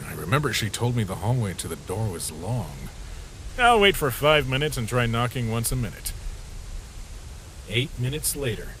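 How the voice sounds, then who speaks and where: A man's voice: medium pitch, animated, normal speed, background noise, close to an everyday voice. A man voicing an easygoing, joke-loving skeleton sentry in a comic dub, casual and musing. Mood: wistful, musing. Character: easygoing skeleton sentry who loves jokes, troubled by nightmares. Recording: music behind the voice.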